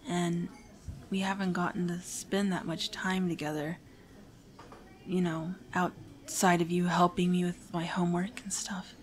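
A woman's voice: lowish voice, level tone, measured pace, natural voice. Shy, stammering teen hero-in-training acted by a woman. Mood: nervous, hesitant. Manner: hesitant, stammering, apologetic, prone to anxious rambling. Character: shy, nervous teenage hero-in-training confessing a crush. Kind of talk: romantic audio roleplay addressed to the listener.

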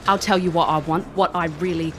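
Woman playing a low-voiced wry investigator. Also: fairly even intonation, a little fast, a bit bright, a little hiss or hum, natural voice. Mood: cheeky. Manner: wry, casual, confident. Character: cocky, wry female private investigator. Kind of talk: audio drama acting and narration.

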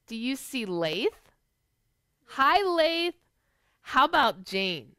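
A middle-aged woman, a children's pastor, hosting an animated storytime for preschoolers and speaking to them with questions. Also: high-pitched, very slow, dry recording, clean studio sound. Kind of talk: storytime for preschoolers, chat and picture-book reading. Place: small living room at home, on a couch.

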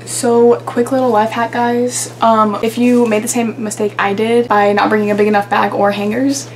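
A woman's voice: a little high, level tone, moderate pace, noisy background. A young woman chatting to her viewers in a casual vlog, giggly and talkative, recorded on a handheld camera in a small bedroom. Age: young adult. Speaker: vlogger.

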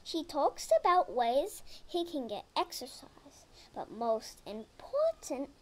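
A girl reading a children's picture book aloud, slowly and carefully, sometimes stumbling over words. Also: high-pitched, sing-song. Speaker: reader.

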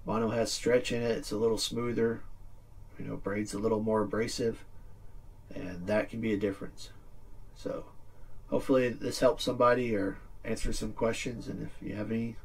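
A middle-aged man with a lowish, rough-edged husky voice presents a how-to video. He explains things informally and plainly, like a hobbyist at a table. The microphone sits a little way off from him. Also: little pitch movement, normal speed, recorded from a distance. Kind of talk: casual instructional demonstration. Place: small indoor room with green screen backdrop.

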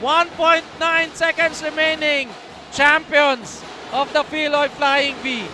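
A man's voice: extremely high pitch, level tone, takes it slowly, bright, forceful tone. A man, a coach, giving color commentary beside the play-by-play caller, analysing plays and echoing his partner. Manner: analytical, echoes and agrees with partner. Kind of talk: live basketball commentary. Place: courtside booth in a packed arena. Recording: noisy arena broadcast.

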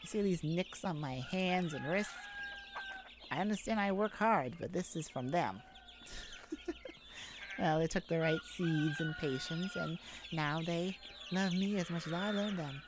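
A woman voicing a shy, gentle, easily flustered elf farmer in an ASMR roleplay, halting and polite with nervous little laughs. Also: on the low side, natural voice. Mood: warm, friendly. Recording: clear background noise, farm chicken ambience. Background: farm ambience with chickens and rooster.

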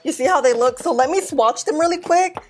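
A woman in her thirties, a beauty vlogger, giving a loud, excitable, chatty makeup review straight to camera at home. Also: sits high, brisk. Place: indoor filming set with plain backdrop.

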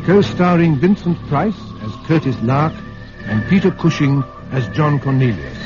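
Higher-voiced radio announcer, a man, lively intonation. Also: normal speed. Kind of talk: scripted radio drama introduction. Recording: dull vintage 1970s radio broadcast. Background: faint music.

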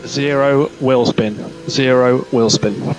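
A man speaks as a race engineer over team radio with a mid-range voice. His delivery is clipped and calm, and he repeats his instructions. Clear car noise runs behind the transmission. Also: mid-range voice, takes it slowly. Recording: noisy motor racing radio, engine noise.

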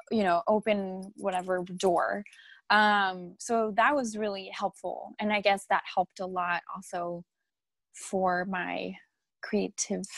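A woman's voice: medium pitch, dry recording. A young woman from France as an interview guest, talking casually over a video call with lots of ums and laughs. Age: young adult. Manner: chatty, full of ums, laughs often. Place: home room with art on the wall. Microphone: laptop or phone camera on a video call.

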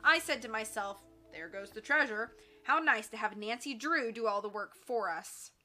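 A man's voice: sits high, normal speed, pushed and bright, soft background music. Woman voicing a snarling, gloating male gang boss. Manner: barking, sarcastic.

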